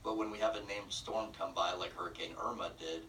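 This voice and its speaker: Low voiced guy as radio guest, explains stuff with lots of pauses, faint noise behind. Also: fairly even intonation, bright, forceful tone, distant mic. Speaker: interview guest, nonprofit representative. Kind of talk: conversational explaining in radio interview.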